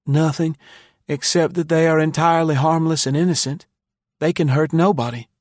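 A man's voice: on the high side, fairly even intonation, moderate pace, a little mellow, dry recording, clean studio sound, pitched above the performer's own voice, lightly acted. A man voicing a polite, earnest teenage boy, frightened and pleading, in an audiobook story. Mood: composed, innocent. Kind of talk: audiobook character dialogue.

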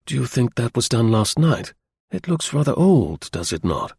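A man voicing a brisk, observant gentleman detective in a storybook, incisive, courteous and authoritative. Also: mid-range voice, sing-song, creaky, husky, dry recording, heavily acted. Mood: probing, brisk.

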